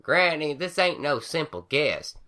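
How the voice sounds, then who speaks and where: Casting: brash hot-tempered farm mare, loud and raised, done by a man. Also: fairly high pitch, very animated, measured pace, voice pushed up, heavily acted.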